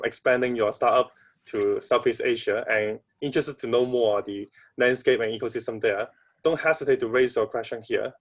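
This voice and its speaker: Male host of a webinar, polite and a bit halting, middle pitch, video call. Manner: polite, slightly halting introductions. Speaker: event host. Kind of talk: hosting an online session.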